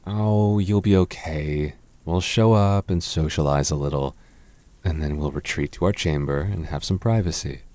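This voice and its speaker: A man playing a devoted young fantasy prince in a romantic roleplay, speaking tenderly and haltingly with gentle teasing. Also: a bass voice, no echo. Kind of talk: romantic boyfriend roleplay ASMR.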